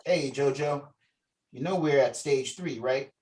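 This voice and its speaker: Fatherly martial arts mentor, read by a man, medium pitch, heard off-mic on a video call. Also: unhurried, darkish tone, recorded from a distance, lightly acted. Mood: businesslike. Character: martial arts master calling his young student, fatherly. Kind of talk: script table read.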